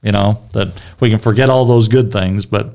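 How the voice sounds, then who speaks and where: A man's voice: on the low side, fairly even intonation, moderate pace, gravelly, darkish tone. A middle-aged man with a strong voice leading a casual Bible discussion, rambling and restarting his sentences. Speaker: Bible study leader.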